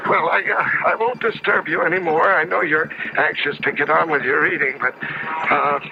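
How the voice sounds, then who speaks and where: A gravelly, high-voiced old man in vintage American radio drama. He is polite and frail, hesitating and apologizing as he goes. The old recording is dull, with clear noise behind it. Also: steady tone, moderate pace. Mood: polite, hesitant.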